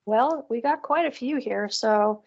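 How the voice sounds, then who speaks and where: A woman's voice: fairly high pitch, a little slow, creaky, a little mellow. A woman co-hosting an online call, presenting a live screen-share demo in a casual, upbeat, chatty way. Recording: dull, lo-fi online call audio.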